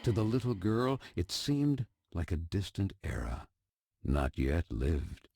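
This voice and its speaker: An actor playing a theatrical storybook narrator in an animated-style game, telling a fairy tale in ornate, dramatic phrasing. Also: male voice, low voice, takes it slowly, vocal fry, breathy edge, no room sound, full character voice. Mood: ominous, grave. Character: theatrical storybook narrator, deep-voiced man, grave and whimsical. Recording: music behind the voice.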